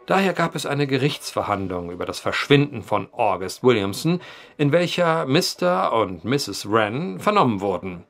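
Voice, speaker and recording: Eloquent, theatrical, animated brooding storyteller. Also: male voice, medium pitch. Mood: wry. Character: eloquent, brooding male storyteller, drinking at a bar. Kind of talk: audio drama acting.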